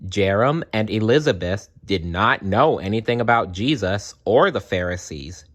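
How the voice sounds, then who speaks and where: A storyteller reading aloud to children in an expressive way, with dramatic pauses and playful asides. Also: deep voice.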